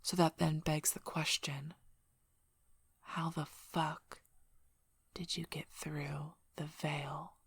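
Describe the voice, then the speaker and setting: ASMR roleplay role: protective alpha werewolf female, woman performer, husky and unhurried, quietly threatening, close dry mic. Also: low-pitched, flat delivery, dry recording, heavily acted. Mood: accusing, skeptical. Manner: slow, menacing, suspicious, often breathy whispering.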